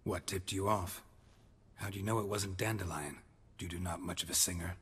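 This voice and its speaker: An actor playing a stoic, world-weary monster hunter in a video game, speaking tersely in a gruff, deadpan voice. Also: male voice, low-pitched, normal speed. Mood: businesslike. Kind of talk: video game cutscene dialogue.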